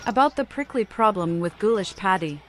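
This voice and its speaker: A woman's voice: medium pitch, animated, brisk, a little hiss or hum, close to an everyday voice. A woman voicing a mad, eerily playful vampire in a video game, speaking in riddles with archaic, theatrical phrasing. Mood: eerie, playful. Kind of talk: video game protagonist dialogue, fan-voiced. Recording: game music behind the voice.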